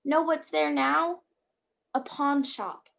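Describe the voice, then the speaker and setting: A woman playing a gentle, dutiful guardian angel in an amateur radio play. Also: high-pitched, steady tone, takes it slowly, vocal fry, exaggerated voice. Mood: concerned.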